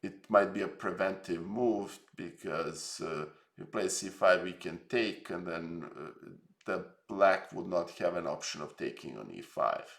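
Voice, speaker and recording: A man's voice: low voice, expressive, a little slow, gravelly, a bit bright, roomy sound. A man from Serbia hosting a casual live stream, rambling and hesitant with lots of fillers and jokes. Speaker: streamer host.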